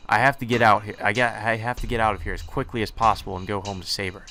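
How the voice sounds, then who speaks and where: A man's voice: fairly low pitch, fairly even intonation, fast talker, sharp tone, slight background noise. A man reading visual novel text aloud with casual commentary, sometimes stumbling over words and correcting himself.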